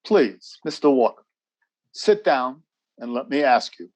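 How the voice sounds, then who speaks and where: An actor playing a firm, procedural military security officer in a radio drama, formal, brisk and husky. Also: male voice, medium pitch, sing-song, heavy background noise. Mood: firm, courteous.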